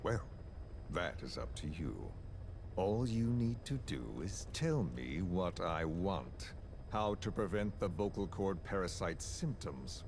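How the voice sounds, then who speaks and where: Game villain: deep, composed, taunting middle-aged interrogator, theatrically polite, noisy ambience behind. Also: male voice, lively intonation, takes it slowly, a little mellow, exaggerated voice. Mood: controlled, pressing. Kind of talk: video game dramatic dialogue.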